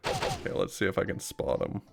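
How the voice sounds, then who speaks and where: A man's voice: on the low side, wildly swooping pitch, a little fast, vocal fry, husky, faint music behind. A man hosting a live stream, reacting to gameplay with dry, sarcastic humor. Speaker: streamer host. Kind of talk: casual stream chat over gameplay.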